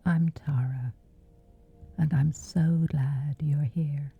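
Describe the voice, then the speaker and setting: Soft whispery lady, really slow, low voice, thin tinny sound w faint music under it. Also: fairly even intonation, gravelly, husky. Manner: slow gentle soothing delivery. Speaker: meditation guide. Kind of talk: guided sleep meditation.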